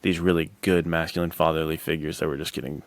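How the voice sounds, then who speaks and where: A man's voice: very deep voice, steady tone, quick pace, no echo. A man co-hosting a podcast, telling stories casually in bro-like slang and playfully acting out quotes. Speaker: podcast co-host. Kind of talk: casual animated storytelling chat.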